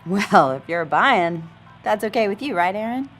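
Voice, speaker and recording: A woman's voice: mid-range voice, animated, normal speed, fairly bright tone, soft background music, close to an everyday voice. An actress in an audio drama playing a sweet, chatty woman who poses as an expectant mother, friendly and confiding. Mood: cheerful. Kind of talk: audio fiction drama acting.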